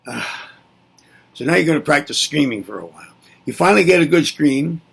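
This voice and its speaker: A man presenting a reflective spoken lesson with a gravelly, husky edge to his voice. He muses aloud, circles back on his points and answers his own rhetorical questions. His pitch rises and falls freely, sitting a little high for a man. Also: expressive, brisk. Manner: musing, repeats himself, asks and answers rhetorical questions.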